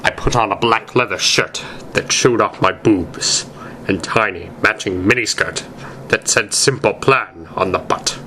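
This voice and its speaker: A man narrates a story aloud in an amateur dramatic style. His delivery is slow and deliberate, with a bright, forceful tone. A little noise can be heard behind him. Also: fairly low pitch. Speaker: narrator reading fan fiction aloud.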